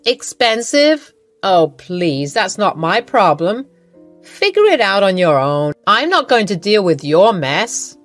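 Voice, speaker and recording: A woman's voice: medium pitch, sing-song, slow, no room sound, soft background music. A woman voicing a rude, dismissive middle-aged mother-in-law who talks in a curt, impatient, scornful way.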